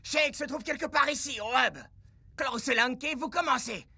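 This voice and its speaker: Cocky, creaky-voiced cartoon football star. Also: male voice, extremely high pitch, moderate pace, bright, forceful tone, slight background noise, heavily acted. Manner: boastful, melodramatic. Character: cocky, hot-headed male football star, theatrical hero. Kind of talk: French cartoon dub. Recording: music under the voice.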